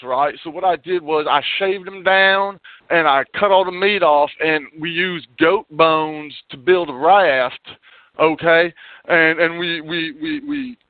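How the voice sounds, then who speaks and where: A man's voice: sits high, dry recording. A man calling a radio show, talking in a rambling, stammering, folksy way that turns taunting. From Alabama. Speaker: caller. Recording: dry, tinny phone line.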